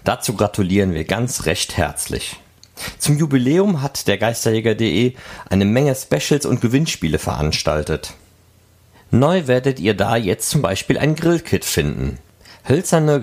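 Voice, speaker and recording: A man's voice: medium pitch, darkish tone. A man reading scripted news and announcements as the host of a podcast.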